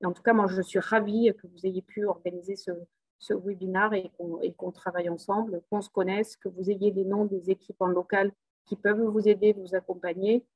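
A woman's voice: mid-range voice, level tone, a little fast, slightly rough, dry recording, muffled recording. A middle-aged woman with a southern French accent, speaking on a video call at a webinar, full of hesitations and repeated words but earnest and upbeat. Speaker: rail company executive speaking at a webinar. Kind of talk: spontaneous webinar speech.

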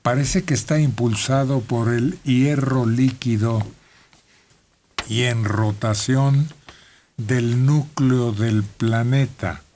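An older man from Mexico reading news notes slowly at a radio studio table. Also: medium pitch, warm tone, a little hiss or hum. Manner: slow, deliberate reading from paper. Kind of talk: reading news items on air. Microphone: desk microphone.

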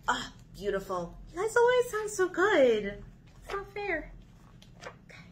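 A woman in her thirties leading a children's story time, reading aloud in an animated, sing-song way to young listeners. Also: very high-pitched, measured pace, background noise. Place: small indoor room.

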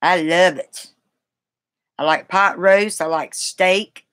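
A woman's voice: fairly low pitch, slow, a bit bright, clear, resonant tone. A middle-aged woman with a Carolina Southern accent, chatting casually with viewers in a rambling, folksy, colloquial way, recorded on a webcam in a room at home. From South Carolina, United States. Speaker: livestream host. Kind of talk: casual chat, responding to viewers. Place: small room at home, indoors.

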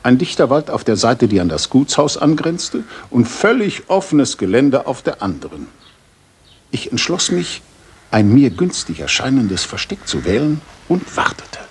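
An actor playing a courteous, loyal gentleman companion who tells the story in a measured way in a German radio drama. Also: mid-range voice, sing-song, husky, heavily acted. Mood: measured narrating.

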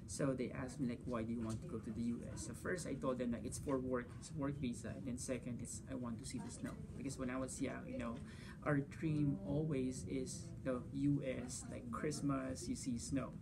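A man's voice: mid-range voice, fairly even intonation, normal speed, gravelly, few pauses, noisy background. A man in his thirties from the Philippines, answering interview questions casually as a physics teacher, often saying "you know" and "like". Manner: gestures while talking, frequent "you know" and "like". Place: school conference room. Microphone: camera across the table.

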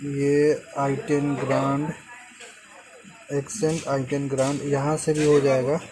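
A man's voice: medium pitch, level tone, slow pace, crowd noise, rough recording. Man explaining car diagnostics while working. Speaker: car mechanic. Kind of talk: hands-on repair tutorial. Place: inside a car and at the open engine bay, outdoors.

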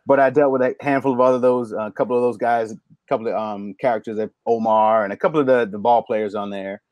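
A middle-aged barber man speaks over a video call from a home room. His voice sits at a medium pitch with a dry, close sound, and he tells stories with energy, putting on the voices of the people in them. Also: fast talker, no echo. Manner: animated storyteller, acts out quoted lines. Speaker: barber guest. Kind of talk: casual video call chat. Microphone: video call mic.

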